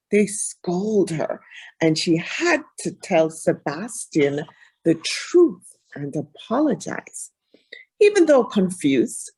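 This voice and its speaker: A woman's voice: on the low side, darkish tone, husky. A woman reading a children's storybook aloud expressively.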